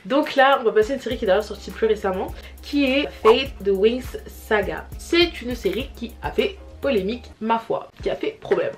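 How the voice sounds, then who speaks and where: A young woman vlogging at home to her camera, chatting casually about a series with lots of fillers and restarts. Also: a little high. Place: home, family noises around. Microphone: camera mic.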